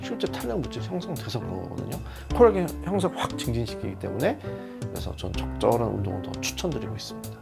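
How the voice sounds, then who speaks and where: A man's voice: medium pitch, very animated, fast talker, gravelly, music in the background. A man who is a skin doctor, explaining a cosmetic procedure and giving advice to viewers. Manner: explanatory, advising with examples. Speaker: dermatologist.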